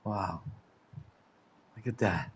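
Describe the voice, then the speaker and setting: A man who teaches English hosts the chat, telling stories in a quick, animated way with many fillers. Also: low voice, muffled recording, a little hiss or hum. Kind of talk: casual storytelling chat.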